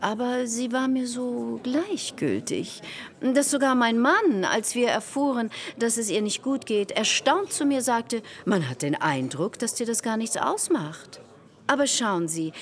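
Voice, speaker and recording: An actress playing a callous, self-justifying married salon hostess in a radio drama, theatrical and given to hushed confiding. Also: female voice, fairly high pitch. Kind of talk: German radio drama acting.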